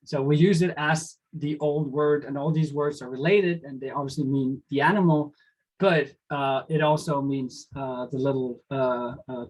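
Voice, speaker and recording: Casting note: male researcher, Danish first language, mid-pitched voice, halting lecture delivery full of fillers. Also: darkish tone, breathy edge, low-quality recording. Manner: hesitant, many fillers and restarts. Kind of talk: academic lecture with slides.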